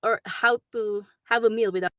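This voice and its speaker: Filipino-accented woman, forties, halting, lots of fillers, dry close sound. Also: a little high, steady tone, a bit bright, dry recording. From Philippines. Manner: hesitant, many ums and likes. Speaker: travel nurse. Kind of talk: recorded video message.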